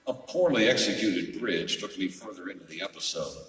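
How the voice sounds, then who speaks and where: Hardboiled male gumshoe played by an actor, noir radio drama: low, forceful, wry and world-weary, in a big echoey hall. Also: expressive, brisk. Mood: brooding, wry. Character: hardboiled wisecracking male private detective. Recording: echoey hall, distant mic.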